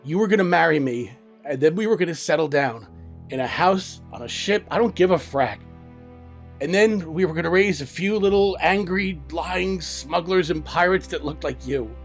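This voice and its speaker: A man's voice: a little high, moderate pace, soft background music, lightly acted. A man playing a smuggler's devoted, stubborn lover in an audio drama, blunt and insistent, with raw emotion. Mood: emotional, insistent.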